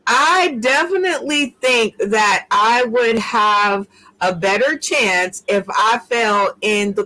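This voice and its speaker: A woman hosting a laid-back talk show, taking her time over every phrase. Her voice is bright and a little forceful, and she laughs easily as she keeps the conversation moving. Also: a little high, rough recording. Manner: playful, laughs often, drawn-out phrasing. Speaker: host. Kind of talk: casual chat.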